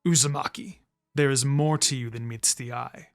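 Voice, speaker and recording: A man voicing a stern, proud teenage ninja scout who speaks in a measured, aloof way for a fan fiction reading. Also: mid-range voice, steady tone, soft background music, pitched below the performer's own voice, lightly acted. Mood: cool, composed.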